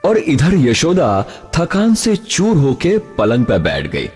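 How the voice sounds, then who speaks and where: A man narrating a scripted children's story in an expressive, dramatic way. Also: fairly high pitch, takes it slowly, slightly rough. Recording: music behind the voice.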